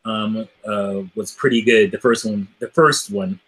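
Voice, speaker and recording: A man chatting casually as a podcast host, riffing in an animated, profane way and often chuckling. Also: fairly low pitch, measured pace, rough recording. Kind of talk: casual film banter.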